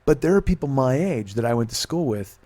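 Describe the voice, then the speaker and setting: A man's voice: medium pitch, expressive, fairly quick, mellow. Grumbling, profane man in his forties. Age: 40s. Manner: profane, grumbling, self-mocking. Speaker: podcast host. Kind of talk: casual chat.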